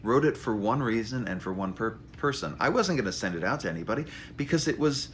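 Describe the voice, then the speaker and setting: Male author, informal monologue. Very expressive pitch, wry and self-deprecating, some background noise. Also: quick pace. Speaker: author introducing his memoir. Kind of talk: informal spoken monologue, storytelling.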